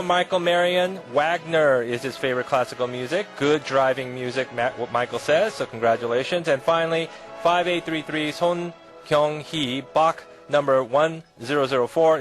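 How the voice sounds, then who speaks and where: A man hosting an evening radio show, polite with a smooth announcer delivery. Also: on the high side, steady tone, normal speed, faint music behind. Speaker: radio host.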